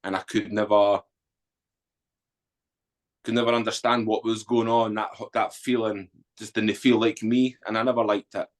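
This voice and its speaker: Man, Edinburgh Scottish accent, forties, low-pitched, blunt and earthy, candid storytelling guest. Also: flat delivery, moderate pace. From Scotland. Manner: blunt, earthy, unguarded talk with frequent ums. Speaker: guest, author and former soldier.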